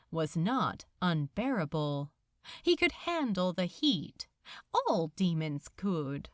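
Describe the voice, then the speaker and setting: A woman reading scripted fiction as a narrator, in a measured, dramatic storytelling manner. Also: medium pitch, no room sound, clean studio sound. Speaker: audiobook narrator.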